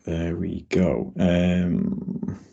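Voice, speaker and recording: A man on a casual football draft panel who is losing his voice and keeps clearing his throat. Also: low-pitched, drawn-out words, dark tone. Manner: clears throat often, upbeat. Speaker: draft analyst, co-host. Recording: dull lo-fi audio.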